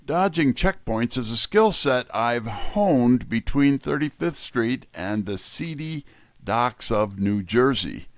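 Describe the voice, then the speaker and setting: A man's voice: mid-range voice, lively intonation, slow, no room sound, clean studio sound. A man giving a scripted, wry first-person hardboiled detective narration.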